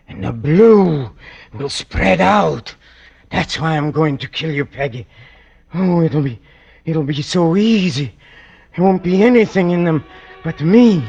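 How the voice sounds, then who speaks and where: Lonely obsessive killer, slow halting talk, dull old radio drama sound. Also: male voice, fairly high pitch, gravelly, darkish tone, breathy edge, full character voice. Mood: obsessed, menacing. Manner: halting, obsessive, repeats himself. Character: lonely, unhinged young male killer, brooding and self-pitying. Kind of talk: 1940s-50s radio thriller acting. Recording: dull vintage radio recording.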